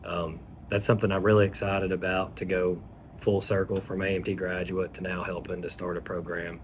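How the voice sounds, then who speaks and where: A Kentucky man in his thirties speaks in a very deep, flat voice. His delivery is steady and measured, like a prepared testimonial. A faint factory hum runs underneath. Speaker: safety manager. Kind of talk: scripted testimonial. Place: manufacturing plant.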